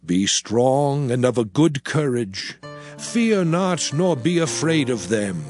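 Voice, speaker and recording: An actor playing an aged prophet and leader, solemn and exhorting, in a grave dramatized scripture reading. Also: male voice, mid-range voice, lively intonation, darkish tone. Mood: solemn encouragement. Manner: grave, deliberate, declamatory. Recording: background music under the voice.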